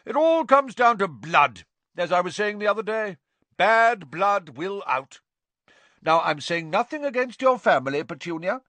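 A man voicing a loud, bullying, drunken middle-aged aunt with a booming, scornful manner. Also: very animated, normal speed, no room sound, heavily acted.

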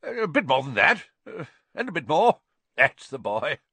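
A man voicing a loud, bullying, drunken middle-aged aunt with a booming, scornful manner. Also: sing-song, unhurried, husky, no echo, full character voice. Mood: jovial.

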